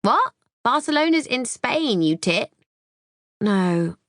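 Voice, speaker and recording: A woman's voice: medium pitch, very animated, moderate pace, dry recording, studio quality, voice pushed up, lightly acted. A woman voicing a blunt, crude, sarcastic young woman, the narrator's sister, in an audiobook. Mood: blunt, mocking.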